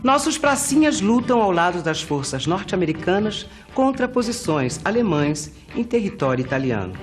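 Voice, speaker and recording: A man's voice: high voice, big pitch swings, moderate pace, soft background music. A man with a Brazilian accent narrates scripted historical events in short, declarative, newsreel-like sentences.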